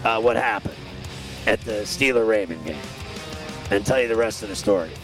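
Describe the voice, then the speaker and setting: A man's voice: lowish voice, moderate pace, a bit bright, soft background music, low-quality recording. A man, a radio host telling a long rambling, self-mocking story in a grating voice. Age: 50s. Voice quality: gravelly, grating voice. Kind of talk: storytelling monologue on a radio show.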